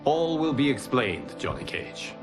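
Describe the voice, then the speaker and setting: An actor playing a calm, solemn fire god in a video game cutscene, speaking in a grave, measured, formal way. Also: male voice, mid-range voice. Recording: game cutscene with music behind.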